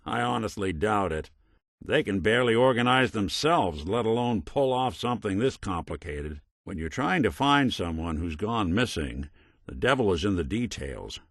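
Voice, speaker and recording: Low-voiced, hard-boiled American synth detective. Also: male voice, animated, normal speed, a bit bright, no echo, clean studio sound. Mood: businesslike. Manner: wry, folksy old-time gumshoe delivery. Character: weary, wry synth private detective, kind but hard-boiled. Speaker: video game voice actor. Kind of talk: noir detective game dialogue.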